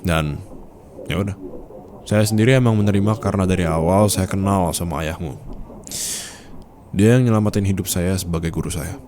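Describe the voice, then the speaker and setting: A man's voice: deep voice, moderate pace, long pauses, darkish tone, close to an everyday voice. A man playing a teasing, possessive boss in love with an employee, in an intimate romantic ASMR roleplay. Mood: earnest. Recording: noticeable background noise, ambient sound effects.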